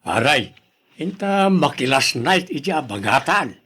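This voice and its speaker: A man with an Ilocano accent playing a roguish, excitable drinking buddy in a radio drama. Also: a little high, unhurried, slightly rough, full character voice. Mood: sly, egging on.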